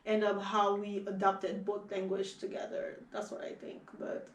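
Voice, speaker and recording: A young woman from Haiti speaks with a Haitian accent, her first language Creole. She talks in a lively, rambling way, full of fillers and restarts. Her voice sits in a middle register and carries some room echo. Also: mid-range voice, moderate pace, far from the mic. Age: young adult. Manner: animated, many fillers and restarts. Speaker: guest. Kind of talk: casual conversation.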